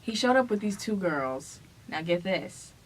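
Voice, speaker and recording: A woman's voice: lowish voice, animated, unhurried, breathy edge, poor audio. A young woman in casual storytime chat, teasing and playfully dramatic as she retells things. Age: young adult. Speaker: vlogger telling a couple story.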